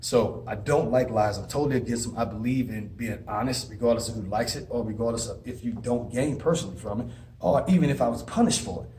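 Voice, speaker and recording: Earnest man, mid-pitched, talking advice straight to camera, restarts a lot, faint background hum. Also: quick pace, a little mellow. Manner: earnest, rambling, frequent restarts. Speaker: advice vlogger and former inmate. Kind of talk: casual advice monologue with personal stories.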